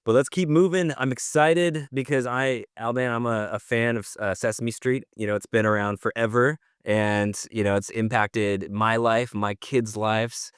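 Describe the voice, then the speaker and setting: A man hosting a podcast, talking in a relaxed, informal way and steering the chat. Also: medium pitch, moderate pace, hardly any pauses, clean tone, no echo, studio quality. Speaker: host.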